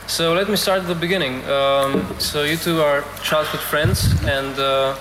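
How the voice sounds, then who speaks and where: Guy interviewing, higher-ish voice, halting, roomy echo and noise. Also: fairly even intonation. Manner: halting, searching for words. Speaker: radio interviewer. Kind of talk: informal interview. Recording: echoey room with noticeable noise.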